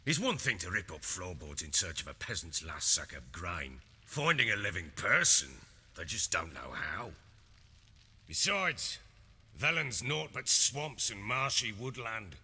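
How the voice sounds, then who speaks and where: An actor playing a blustering middle-aged warlord baron in a video game, with a gruff, husky voice and a Northern English accent. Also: male voice, on the low side, very animated, measured pace, bright, forceful tone, exaggerated voice. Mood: grave. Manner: blustering, emphatic. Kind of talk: video game character acting. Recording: hall reverb, music underneath.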